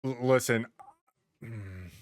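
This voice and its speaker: A man's voice: mid-range voice, slow, no echo. Guy talking on a podcast, blunt and sweary, repeats himself building a point, very dry sound. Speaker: podcast co-host. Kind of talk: casual chat, anecdotes and opinions.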